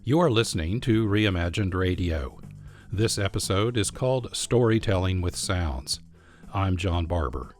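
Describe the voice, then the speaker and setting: A man's voice: low-pitched, expressive, unhurried, over music. A man with an American accent hosting a radio program with scripted documentary narration. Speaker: radio show host.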